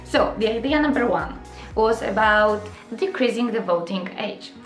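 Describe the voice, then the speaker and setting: A young woman with a fairly high voice explains things earnestly to her viewers, with "uh" and "um" fillers and direct questions to the audience. Her voice rings in a roomy, echoey space, with faint music underneath. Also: lively intonation, moderate pace. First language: Polish. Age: young adult. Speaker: vlogger. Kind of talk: casual explainer vlog to camera.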